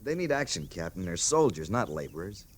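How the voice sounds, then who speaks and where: Low, lively young-ranking cavalry officer. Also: male voice, fairly quick, slightly rough, heavily acted. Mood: concerned. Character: earnest male cavalry junior officer. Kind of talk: classic old-time radio western acting.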